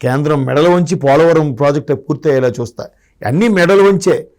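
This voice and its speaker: A man's voice: a little high, fairly quick, a little mellow. Man arguing politics. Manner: argumentative, asks rhetorical questions. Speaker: political commentator. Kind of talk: political discussion.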